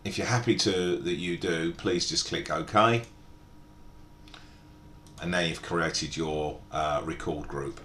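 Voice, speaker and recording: A man narrating an informal step-by-step software tutorial in a methodical, explanatory way. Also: steady tone, slow, long pauses. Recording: echoey room, background hiss and rumble.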